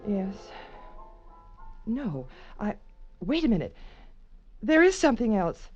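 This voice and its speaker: A woman's voice: mid-range voice, very animated, slow, darkish tone. An actress playing an anxious, refined young married woman in a 1940s radio drama, earnest and hesitant in manner. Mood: worried, urgent. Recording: dull old radio recording, faint music.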